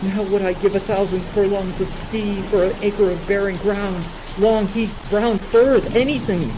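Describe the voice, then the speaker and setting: A woman's voice: medium pitch, steady tone, unhurried, background noise, natural voice. A woman playing a resigned, weary courtier on a sinking ship in a Shakespeare radio play, lamenting. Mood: fearful, resigned. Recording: voice over rain and storm effects.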